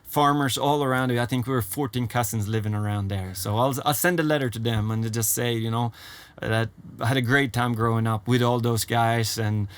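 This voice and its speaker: A man's voice: mid-range voice, a little fast, few pauses, a little hiss or hum. A man in his fifties from Sweden with a Swedish accent, a ranch owner answering interview questions in a casual, chatty way, often repeating himself. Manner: warm, repeats phrases, many fillers. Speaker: horse trainer and ranch owner.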